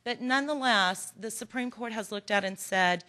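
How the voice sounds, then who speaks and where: A woman's voice: on the high side, normal speed, sharp tone. A woman from Texas with a Texan accent, giving an earnest, encouraging workshop presentation with a folksy manner. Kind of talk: conference presentation. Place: conference room.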